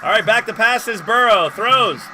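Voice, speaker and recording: A man hosting a live sports stream, calling plays and chatting casually with viewers, getting excitable on big plays. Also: high-pitched, unhurried, a little hiss or hum. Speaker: livestream host and play-by-play commentator.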